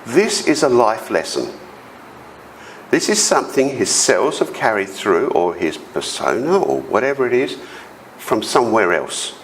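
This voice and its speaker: Animated Australian man with a big voice. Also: lowish voice, a little slow, slight background noise, low-quality recording. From South Australia. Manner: animated storytelling, acts out quoted voices. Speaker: conference speaker. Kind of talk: storytelling presentation. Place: conference hall.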